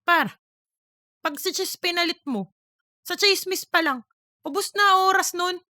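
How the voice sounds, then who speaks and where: Woman playing a very high, slangy joker guy. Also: a little slow, sharp tone, no room sound, clean studio sound, exaggerated voice. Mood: teasing. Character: slangy, teasing male office buddy, self-promoting joker. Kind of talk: scripted comic audiobook dialogue.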